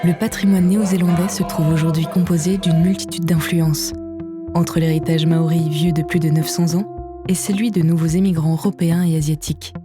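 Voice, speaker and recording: Female documentary narrator, lowish voice, steady scripted read, music bed behind. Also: quick pace.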